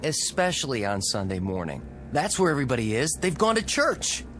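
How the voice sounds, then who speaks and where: An actor playing an irritable, sardonic husband with an American accent in a mid-century television drama. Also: male voice, mid-range voice, very animated, fairly quick, fairly bright tone, faint music behind. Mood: wry, determined. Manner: sardonic, gruff, dismissive. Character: cynical, irritable husband stranded in a strange town.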